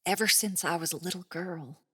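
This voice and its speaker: A male audiobook reader voicing a young woman soldier with a drawling Mississippi twang, soft and dreamy. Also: high voice, a little fast, breathy edge, no echo, clean studio sound. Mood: wistful. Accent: Mississippi twang (put on). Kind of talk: audiobook character voice.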